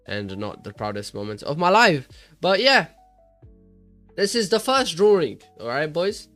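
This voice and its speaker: A man's voice: on the high side, a bit bright, no echo. A young man hosting his own art channel, chatting in a rambling, self-deprecating way over his drawing, his voice worn and congested from a cold. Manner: rambling, self-deprecating, many restarts and fillers. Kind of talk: casual voice-over while drawing.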